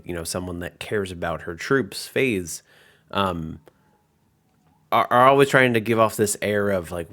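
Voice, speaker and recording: A man's voice: deep voice, fairly bright tone. A man working as game master, narrating and commenting on a tabletop game in an informal, explanatory way.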